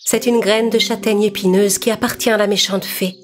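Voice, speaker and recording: A woman's voice: medium pitch, steady tone, fairly quick, music in the background, natural voice. A woman voicing a good fairy, solemn and instructive, in a children's cartoon tale. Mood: grave.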